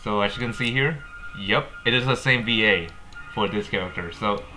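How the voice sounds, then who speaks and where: Deep, bright-voiced man with background noise. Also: faint music behind. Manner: halting, repeats and rephrases words. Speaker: gaming video host. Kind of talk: casual game update commentary.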